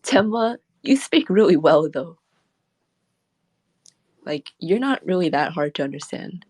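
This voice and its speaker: A woman's voice: on the low side, big pitch swings, measured pace, a little mellow, slightly rough, no room sound. A woman streamer chatting casually and rambling, laughing often as she talks. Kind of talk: casual late-night stream chat.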